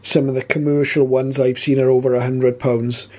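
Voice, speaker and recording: A man with a soft, mellow voice talks casually through a piece of hobby kit. His delivery is measured and matter-of-fact, at a middling pitch, like a host explaining things from a small room at home. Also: little pitch movement. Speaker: radio hobby channel host. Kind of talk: casual explanatory product talk.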